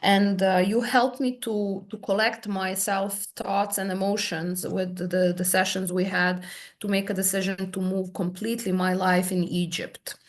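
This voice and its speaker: A woman, a therapy client describing her experience in halting, searching speech full of restarts. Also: mid-range voice, flat delivery. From Serbia. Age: 30s. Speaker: hypnotherapy client guest. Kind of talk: personal testimony in conversation.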